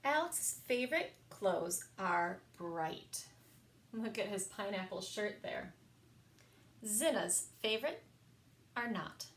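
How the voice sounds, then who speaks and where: A woman reading picture books aloud for a children's story time, expressive and sing-song, with emphasized words. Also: medium pitch, slow pace, pauses often, slight background noise. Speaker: library story time reader.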